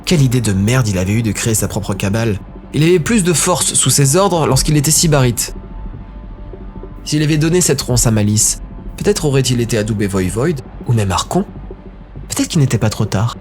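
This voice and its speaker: A man's voice: medium pitch, lively intonation, fairly quick, darkish tone. A man giving a dramatic scripted narration of a story. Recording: background music under narration.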